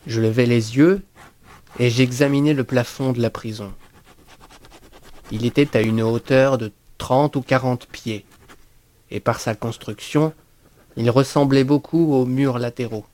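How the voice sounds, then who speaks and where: A man reading a first-person horror story as an audiobook narrator, in a measured, deliberate and expressive literary style. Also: mid-range voice.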